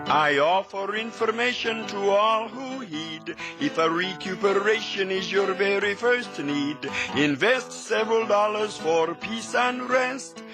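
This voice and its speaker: High-pitched lilting calypso storyteller. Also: male voice, a little slow, hardly any pauses. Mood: breezy, inviting. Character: King Moses, easygoing calypso guitarist and singing storyteller. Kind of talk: 1950s radio acting, rhymed musical narration. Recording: vintage 1950s radio recording with music.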